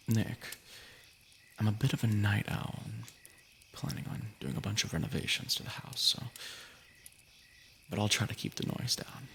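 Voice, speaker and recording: Creaky-voiced man reading stories on stream. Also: fairly low pitch, bright, forceful tone, slight background noise. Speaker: livestream host reading stories. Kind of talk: casual story reading on a livestream.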